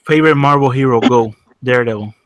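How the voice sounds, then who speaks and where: A man's voice: medium pitch, fast talker, no echo. A man in his early twenties chatting casually in a laid-back, hesitant way, full of fillers. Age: 20s. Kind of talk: casual chat.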